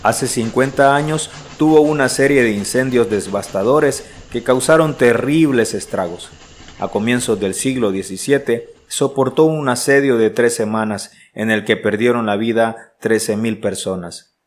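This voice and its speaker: An actor playing an earnest, uneasy traveller who narrates his diary in measured, literary style for a radio drama. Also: mid-range voice, close to an everyday voice.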